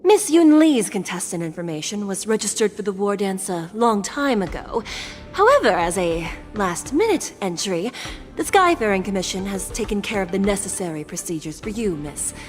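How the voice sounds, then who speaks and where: A woman's voice: medium pitch, big pitch swings, normal speed, faint music behind, lightly acted. A woman voicing a composed, formal official in a video game, courteous and businesslike. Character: composed, formal female official guiding contestants.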